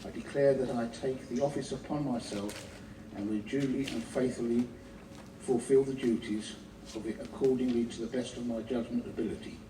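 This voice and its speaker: A man reading a formal oath of office, stumbling and restarting. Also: medium pitch. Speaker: newly elected vice chairman. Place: large echoey council chamber. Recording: echoey distant hall recording with noise.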